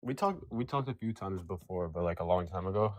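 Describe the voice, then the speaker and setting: Young male caller, low voice, rambly, full of fillers. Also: expressive, a little fast. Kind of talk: casual chat.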